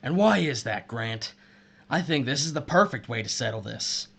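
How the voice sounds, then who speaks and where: A man's voice: mid-range voice, animated, moderate pace, creaky, a bit bright, slightly rough, full character voice. An actor playing a bitter, vengeful missile officer betrayed by his wife, intense and accusatory, in an audio drama. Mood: bitter, accusing.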